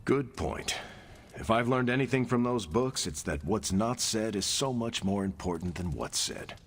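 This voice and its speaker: A man's voice: on the low side, lively intonation, normal speed, a little hiss or hum. A man voicing an easygoing, sincere superhero in a video game, chatting casually with a wry touch. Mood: friendly, wry. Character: easygoing, friendly male superhero, sincere and jokey. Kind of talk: video game cutscene acting.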